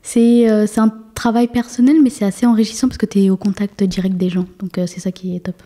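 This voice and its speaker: A woman's voice: mid-range voice, flat delivery, a little fast, dark tone. A woman in her twenties, a guest being interviewed, talking casually with many fillers and restarts. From France. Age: 20s. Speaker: guest, municipal councillor.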